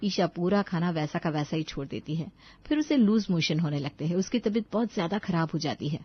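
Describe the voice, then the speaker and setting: A woman's voice: fairly low pitch, lively intonation, brisk, breathy edge, lo-fi sound. A woman narrator reading a scripted family story.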